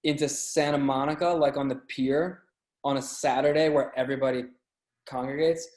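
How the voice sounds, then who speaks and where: A man chatting casually as a podcast guest, telling anecdotes in a halting way, often repeating and stumbling over words. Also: mid-range voice, fairly even intonation, a little mellow. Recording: roomy, echoey sound.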